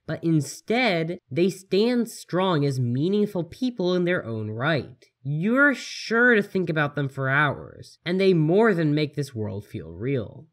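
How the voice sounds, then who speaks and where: A video essayist reading a scripted game review in an earnest, articulate, carefully phrased way. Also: mid-range voice.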